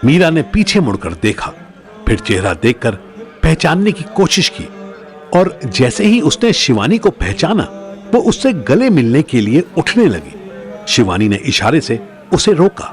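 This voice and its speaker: A man's voice: fairly high pitch, sing-song, measured pace, faint music behind. A man narrating a scripted audio drama as a storyteller, in a dramatic style.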